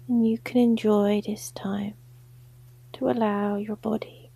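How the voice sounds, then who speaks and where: A woman guiding a sleep meditation in a soft, breathy near-whisper, gentle and soothing. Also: medium pitch, flat delivery, slow pace. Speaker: yoga nidra guide. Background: gongs and singing bowls.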